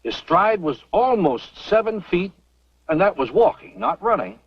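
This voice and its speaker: Husky, animated older professor. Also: male voice, high-pitched, dry recording, heavily acted. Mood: intrigued, explanatory. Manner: measured, expository, avuncular. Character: kindly, thoughtful older male professor. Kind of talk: vintage Western radio drama acting. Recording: dull old radio recording, faint music.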